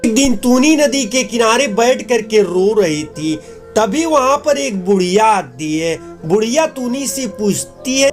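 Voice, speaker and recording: A man's voice: high voice, animated, measured pace, faint music behind. A man narrating a children's cartoon moral story in an earnest, explanatory way.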